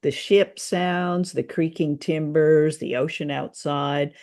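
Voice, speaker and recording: A woman co-hosting a casual discussion show, speaking in a thoughtful, halting way as she searches for words. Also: low voice, steady tone, a little mellow. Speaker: podcast co-host reviewing radio dramas.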